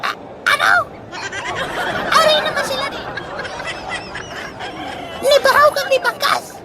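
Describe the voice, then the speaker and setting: Casting: emotional young talking chicken, extremely high voice, comic radio play, loud farmyard din. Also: female voice, fairly even intonation, slow, crowd noise, noisy background. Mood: surprised, amused. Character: talking chicken, lost child of the flock, excitable. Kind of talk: comic radio drama acting.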